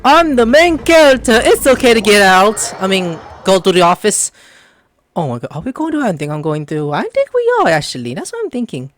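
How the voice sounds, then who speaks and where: Excitable, wildly swooping female gamer. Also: mid-range voice, fairly quick, no echo, faint music behind. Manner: excitable, swears freely, jumps into squeals. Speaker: gamer commenting on playthrough. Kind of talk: casual gameplay reaction commentary.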